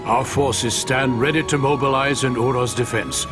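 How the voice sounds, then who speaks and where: An actor playing a composed, courteous king in a fantasy game cutscene, speaking in a formal, measured, authoritative way. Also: medium pitch, steady tone, over music, lightly acted. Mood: resolute. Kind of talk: scripted video game cutscene acting.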